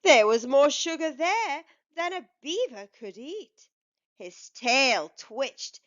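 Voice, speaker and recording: A woman reading a children's storybook aloud as a storytime host, with measured, expressive delivery. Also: high-pitched, muffled recording.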